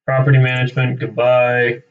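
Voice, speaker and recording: Young guy rambling on a livestream, thinking out loud, says right? a lot, sounds kinda far from the mic and a bit muffled. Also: medium pitch, monotone, normal speed. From Canada. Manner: rambling, thinking aloud, tags sentences with "right?". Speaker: realtor hosting a live cold-calling stream. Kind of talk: casual off-the-cuff livestream chat. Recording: distant, slightly dull microphone.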